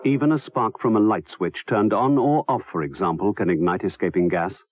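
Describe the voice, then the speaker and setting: A man's voice: on the low side, normal speed, a little mellow. A man reading a scripted warning as an authoritative advert announcer.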